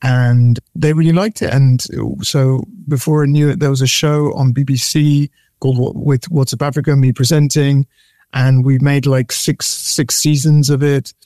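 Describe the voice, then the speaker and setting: Mellow-voiced man, animated storyteller. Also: medium pitch, normal speed, no echo. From born in Nigeria, raised from age eight in the UK. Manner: animated storyteller with repeated words and restarts. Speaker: guest. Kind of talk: casual conversational interview.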